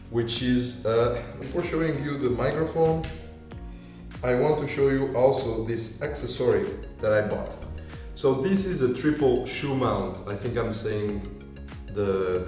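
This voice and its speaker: A deep-voiced man casually walking viewers through gear as a video creator, with non-native English phrasing. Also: darkish tone. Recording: echoey room, far from mic, music behind. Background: music.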